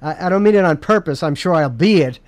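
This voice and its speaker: A man's voice: a little high, lively intonation, fast talker. A man hosting a podcast, chatting casually in a rambling, joking way with lots of restarts. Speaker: podcast host. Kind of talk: casual comedic chat.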